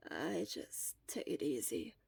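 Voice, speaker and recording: A woman voicing a sickly, gentle teenage schoolboy with a quiet, halting, breathy delivery in an amateur audio story. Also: sits low, wildly swooping pitch, voice pushed down, full character voice. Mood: weary. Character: sickly, weary, gentle fifteen-year-old schoolboy. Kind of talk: amateur audio fan-fiction acting.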